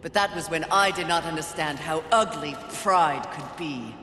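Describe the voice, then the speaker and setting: A woman's voice: low voice, moderate pace, pushed and bright. A woman playing a stern, proud warrior horseman in a video game, cold and commanding. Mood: cold, resolved. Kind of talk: video game heroine acting. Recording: echoey hall, faint noise behind.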